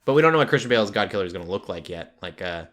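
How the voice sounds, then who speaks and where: A man's voice: low voice, expressive, very fast, crowd noise. A man hosting a podcast, chatting casually and conversationally about what he has watched. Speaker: podcast host. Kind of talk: casual chat about shows and films.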